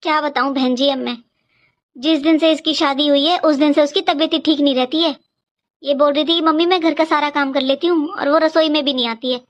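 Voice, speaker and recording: A woman's voice: sits high, fairly even intonation, brisk, muffled recording, natural voice. A woman voicing a worried, complaining elderly Indian mother in a cartoon family skit, plaintive and explanatory.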